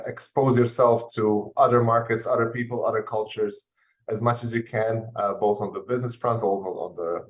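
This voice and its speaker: A man's voice: on the low side, poor audio. A Croatian man in his forties, speaking on a panel debate with many fillers and restarts.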